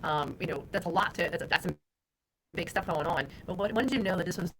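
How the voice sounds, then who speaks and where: A woman's voice: lowish voice, fast talker, a bit bright, slight background noise. A woman hosting a business podcast, introducing her guest and asking upbeat, friendly questions. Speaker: podcast host. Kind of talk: interview hosting.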